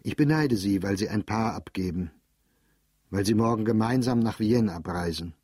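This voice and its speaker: A man with a breathy, slightly low voice reads a diary aloud for radio. His delivery is measured, calm and detached. The close studio recording is dry, with no room sound. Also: no echo. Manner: measured, calm, detached first-person reading. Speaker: narrator reading a diary. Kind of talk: scripted literary diary reading for radio.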